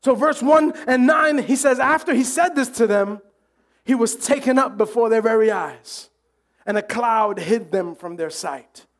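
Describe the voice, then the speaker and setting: A pastor preaching a sermon with animated delivery, joking and acting out the voices of the crowd. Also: male voice, extremely high pitch, unhurried.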